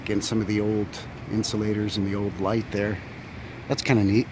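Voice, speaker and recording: A man's voice: fairly low pitch, level tone, a little fast. A man walking outdoors with a handheld phone camera on a windy winter street, thinking aloud about an old building with many fillers and unsure asides. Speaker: vlogger exploring old buildings. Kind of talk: casual walking narration. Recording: wind and street noise behind.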